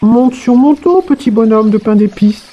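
A woman voicing a sly, smooth-talking fox in a storybook reading, speaking in a coaxing, wheedling way. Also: fairly high pitch, normal speed, lo-fi sound.

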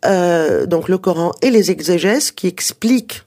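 A native Arabic speaker of medium pitch giving explanations in an interview, hesitant and digressive, with emphatic points. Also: lively intonation, measured pace, darkish tone. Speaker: interviewed author and researcher on early Islamic texts.

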